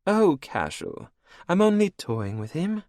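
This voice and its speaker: A man's voice: high-pitched, very animated, unhurried, a little mellow, no echo, clean studio sound, voice pushed up. A man voicing a cruel, regal female vampire queen who speaks sweetly and mockingly. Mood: mocking command.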